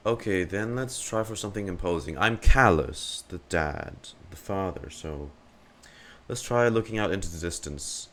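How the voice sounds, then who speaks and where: A man's voice: deep voice, lively intonation, normal speed, a bit bright. A man casually reacting to a video game as a streamer, playfully talking back to the characters.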